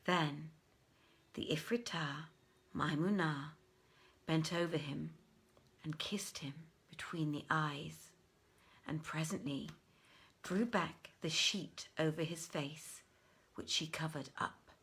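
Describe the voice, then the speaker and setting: A woman reading a literary tale as a dramatic storyteller, with measured, deliberate phrasing and theatrical pauses. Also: deep voice, steady tone, fairly bright tone, breathy edge. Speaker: storyteller, audiobook reader.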